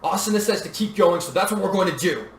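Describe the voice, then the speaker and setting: A man's voice: on the high side, lively intonation, quick pace, close to an everyday voice. A man voicing an earnest, protective young warrior in a story, plain-spoken and determined. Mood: urgent, shouting. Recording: roomy echo, distant mic, faint noise.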